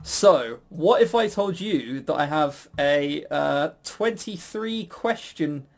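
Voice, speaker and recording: A man's voice: on the high side, expressive, slow pace, gravelly. A man co-hosting a podcast in casual banter, rambling and jokey, making fun of himself and swearing casually. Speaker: podcast co-host and quizmaster.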